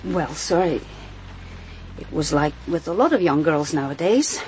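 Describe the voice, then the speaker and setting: Expressive, earnest policewoman. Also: deep voice, measured pace, slight background noise, rough recording. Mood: hesitant, thoughtful. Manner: hesitant, thoughtful, earnest. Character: earnest, perceptive policewoman, speaks up to superiors. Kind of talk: radio crime drama acting.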